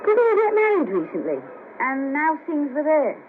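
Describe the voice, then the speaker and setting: Brash, friendly Merseyside sex worker, female, high and blunt, 1970s radio drama, patchy thin tape sound. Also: huge pitch swings, normal speed, slight background noise. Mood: curious. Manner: bawdy, chatty, blunt. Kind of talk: 1970s radio play acting. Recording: patchy narrow-band cassette of 1970s radio broadcast.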